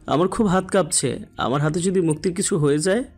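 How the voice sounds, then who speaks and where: An actor playing a worried, shaken young doctor in a Bengali audio story, with an urgent, anxious delivery. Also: male voice, sits high, fairly quick. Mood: shaken fear.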